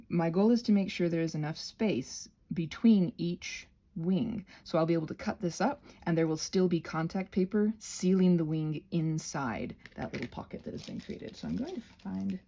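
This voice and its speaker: Female craft vlogger, creaky and husky, rambles casually and hesitantly with wry self-deprecating asides. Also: mid-range voice, a little mellow. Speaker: craft channel host.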